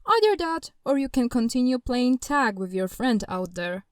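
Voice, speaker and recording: A woman's voice: fairly high pitch, sing-song, moderate pace, no echo, studio quality. A woman playing the stern, guarded apprentice of the lord of death in a fantasy audio drama, formal and curt. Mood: stern, dismissive.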